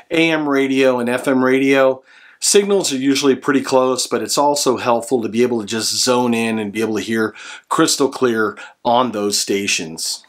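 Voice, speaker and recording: A man in his forties with an American accent, explaining a product to camera in an earnest, instructional way. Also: mid-range voice. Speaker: product reviewer and presenter. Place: small room indoors at home.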